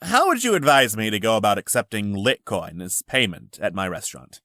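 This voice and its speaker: A man's voice: on the low side, big pitch swings, brisk, bright, forceful tone, no echo, studio quality. A man voicing minor male characters at a conference in a visual novel. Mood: inquisitive. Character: assorted male conference attendees and a curt guard.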